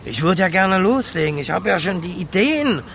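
Hapless homeless man, higher voice, street noise. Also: big pitch swings, moderate pace, gravelly, fairly bright tone, heavily acted. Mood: downcast, helpless. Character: hapless, guileless homeless man, proud petty thief. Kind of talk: naturalistic radio drama dialogue. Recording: noisy outdoor street with traffic.

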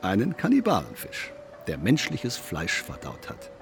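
An actor playing a cynical, coolly provocative man in a stylised radio play, smug, needling and deliberate. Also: fairly low pitch, huge pitch swings, gravelly, over music, heavily acted. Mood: mocking, smug.

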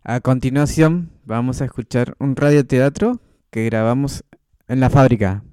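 Mellow, smooth male radio presenter. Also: medium pitch, normal speed, no echo, studio quality. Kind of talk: scripted radio introduction.

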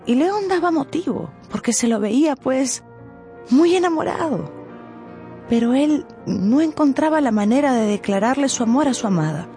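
A woman giving scripted, expressive narration for a radio novel. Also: high voice, moderate pace, soft background music. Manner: expressive storytelling. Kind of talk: scripted dramatized audiobook narration.

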